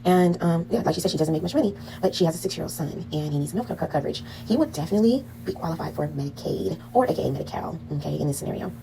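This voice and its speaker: A woman lectures through an online billing class in a quick, chatty way, checking in with her listeners as she goes. Her voice sits low, with a gravelly fry and a mellow tone. A faint hiss runs underneath the recording. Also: lively intonation, poor audio. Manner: chatty, frequent "um" and "okay?" check-ins. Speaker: medical billing instructor.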